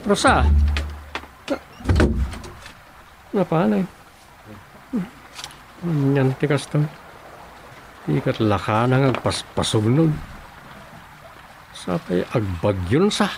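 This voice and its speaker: A man's voice: medium pitch, sing-song, slow pace, gravelly, long pauses, exaggerated voice. A man with an Ilocano accent playing an easygoing, grumbling village husband in a radio comedy drama, on a noisy recording. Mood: uneasy. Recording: noisy recording with background ambience.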